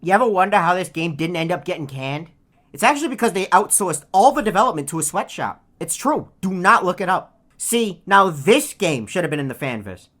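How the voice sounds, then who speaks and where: Woman doing a low snarky cartoon bird for a game, deadpan, lazy, greedy, always mocking. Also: fairly quick. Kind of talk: scripted video game character taunts.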